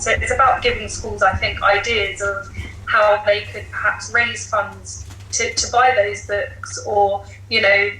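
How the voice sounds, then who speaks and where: A woman speaking as a teacher guest in a casual podcast discussion, explaining things in a measured, practical way. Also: mid-range voice, pushed and bright. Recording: roomy echo, faint background noise.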